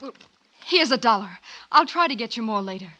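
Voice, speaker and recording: An actress playing a frightened elevator operator, hesitant and urgent, in a 1940s radio drama. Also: female voice, fairly high pitch, expressive, fairly quick, pushed and bright. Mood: nervous, urgent. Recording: noisy vintage 1940s radio recording.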